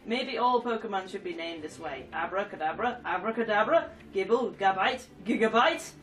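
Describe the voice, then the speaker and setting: A woman's voice: medium pitch, expressive, moderate pace, a bit bright, far from the mic. A woman with a cold and a stuffy nose, chatting casually and giggling as she reads game trophy descriptions aloud. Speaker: gaming YouTuber.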